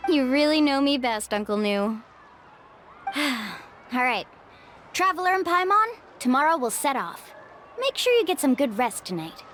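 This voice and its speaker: Cheerful young tour guide girl, upbeat and bouncy, soft game music. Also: sits high, big pitch swings, a little slow, heavily acted. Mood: playful. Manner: upbeat, playful, confident. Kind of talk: video game voice acting. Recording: game audio with music behind.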